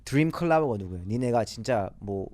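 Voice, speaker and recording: A man's voice: mid-range voice, lively intonation, brisk, a little mellow, no echo. A man, a music producer guest, bantering and teasing with playful swearing. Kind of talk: casual banter.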